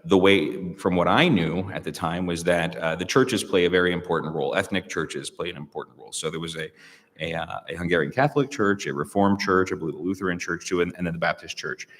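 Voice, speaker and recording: A man's voice: low voice, fast, a bit bright. A man from the United States, a guest speaker, talking in a reflective, hesitant way with many fillers and restarts in a public interview. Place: public hall. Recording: long reverb of a large room.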